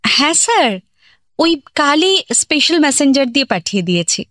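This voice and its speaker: An actress playing a polite, deferential office stenographer in a comedy radio play. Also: female voice, high-pitched, very animated, moderate pace, bright, forceful tone, dry recording, clean studio sound, heavily acted. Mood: dutiful. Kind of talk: Bengali comedy radio drama acting.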